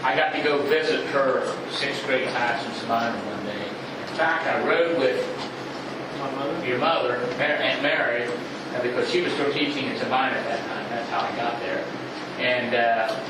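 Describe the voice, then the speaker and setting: A man's voice: medium pitch, normal speed. An older man informally sharing a family memory. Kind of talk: informal reminiscence. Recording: very echoey, noisy, distant microphone.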